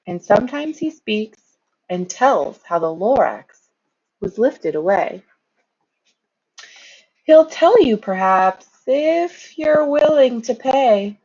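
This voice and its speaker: Animated woman reading a storybook, husky voice. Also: on the high side, muffled recording. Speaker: reader of children's books. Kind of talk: expressive children's storybook read-aloud.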